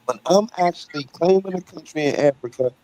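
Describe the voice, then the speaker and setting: A man holds forth on a live online panel, pressing his argument with a mellow, low-key tone. He keeps checking in with his listeners as he drives each point home. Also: brisk, no room sound, rough recording. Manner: emphatic, repeats "right?" and "you know what I'm saying". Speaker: debate panelist. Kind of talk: heated debate talk.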